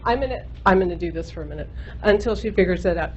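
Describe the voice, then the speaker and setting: A woman in her thirties with a loud voice, giving a university seminar lecture into a microphone in a lecture room, chatty with frequent ums and uhs. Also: fairly low pitch, animated, fast talker. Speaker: guest speaker, microbiologist. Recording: muffled recording with faint hum.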